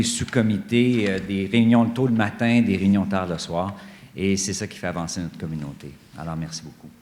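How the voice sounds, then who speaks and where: Low-voiced man in an echoey conference hall. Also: a little mellow. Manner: earnest, emphatic, hesitant with many pauses. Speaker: panelist at a study day; director general of an association. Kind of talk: conference panel remarks. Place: university conference room.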